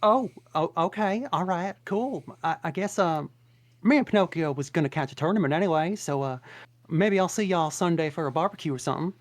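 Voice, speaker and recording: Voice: medium pitch, animated, brisk, close to an everyday voice. A reader doing a clingy chatterbox donkey. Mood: sheepish. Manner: rambling, eager, stumbling restarts. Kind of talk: table read of a film script.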